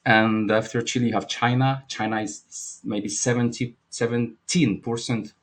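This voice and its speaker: A man from France with a French accent, giving an informal market analysis lesson in a halting, repetitive way with frequent check-in questions. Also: mid-range voice, fairly even intonation. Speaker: trading instructor and market analyst.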